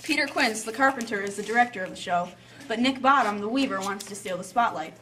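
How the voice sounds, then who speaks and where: Female narrator for a scripted tale with playful asides. Slightly higher voice, a bit off-mic, faint noise. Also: fast talker, bright, forceful tone, recorded from a distance.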